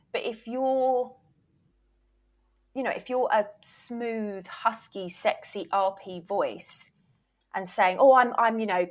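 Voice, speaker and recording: A woman speaking as an expert interview guest, giving conversational advice emphatically and informally, sometimes mildly sweary and slipping into imagined quotes. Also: mid-range voice. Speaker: guest, voiceover reel producer and former agent.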